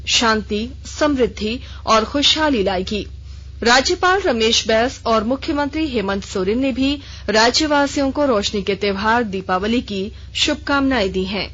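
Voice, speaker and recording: A woman newsreader reading a formal regional radio news bulletin in a measured, even way. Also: a little high. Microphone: studio microphone. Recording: dull lo-fi radio broadcast.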